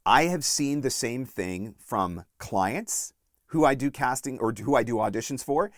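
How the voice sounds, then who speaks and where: A man in his forties giving instructional talk as a coach and host, deliberate and emphatic on key points. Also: mid-range voice, expressive, no room sound, clean studio sound. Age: 40s. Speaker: voice-over coach and channel host.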